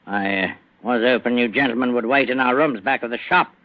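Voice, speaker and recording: An actor playing a shifty working-class shopkeeper, matey and confiding, in 1940s radio style. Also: male voice, medium pitch, a little fast, no echo, natural voice. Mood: anxious. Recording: dull, dry old radio recording.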